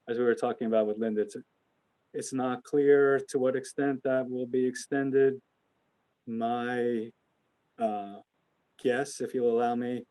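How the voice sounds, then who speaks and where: A man hosting an investment conference call, speaking off the cuff in a halting, hedging, conversational way. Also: medium pitch, warm tone, low-quality recording. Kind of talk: unscripted conference call remarks.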